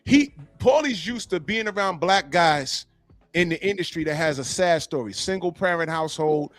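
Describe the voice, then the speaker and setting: A man hosting a podcast in a casual, animated and profane way, often acting out quotes as he tells a story. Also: fairly high pitch, moderate pace. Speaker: podcast host.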